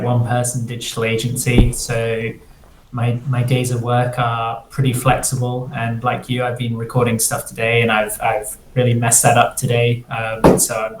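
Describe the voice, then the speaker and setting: A man who grew up in Singapore, a freelance developer, talking casually in an interview and rambling with many restarts. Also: medium pitch. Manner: rambling, restarts often, fills with "like". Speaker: guest, freelance front-end developer. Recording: roomy echo, faint ambient noise.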